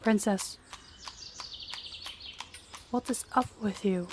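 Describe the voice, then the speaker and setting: A woman's voice: mid-range voice, slow, a little mellow, slight background noise, close to an everyday voice. A woman playing a young princess, a king's daughter, in an intimate audio roleplay. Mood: attentive, gentle. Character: young rival princess, daughter of a stern king.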